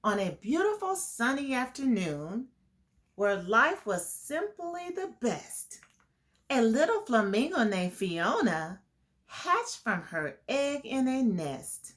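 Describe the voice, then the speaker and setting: A woman's voice: a little high, very animated, slow pace, a bit bright. A woman hosting a children's storytime, reading a picture book aloud and explaining it to young listeners. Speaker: children's storytime host and reader.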